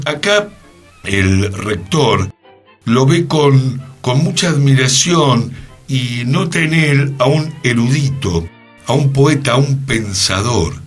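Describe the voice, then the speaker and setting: An Argentine man with a Rioplatense accent presents a radio history column in a slightly husky voice. He takes his time and explains like a teacher, dropping in wry asides. Faint music plays underneath. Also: medium pitch, fairly bright tone. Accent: Rioplatense Argentine Spanish. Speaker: radio show host and columnist.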